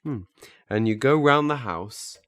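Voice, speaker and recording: A man's voice: medium pitch, animated, measured pace, fairly bright tone, dry recording, clean studio sound. A man playing an earnest, flustered learner in a naturalistic audio drama, hesitant and stammering as he restarts his phrases. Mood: earnest, explaining. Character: earnest, flustered male learner eager to master memory techniques.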